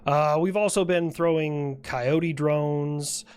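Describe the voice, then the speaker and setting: Satirical skit explainer: middle pitch, conversational, wry, matter-of-fact delivery. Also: steady tone, slow, a little mellow, clear, resonant tone.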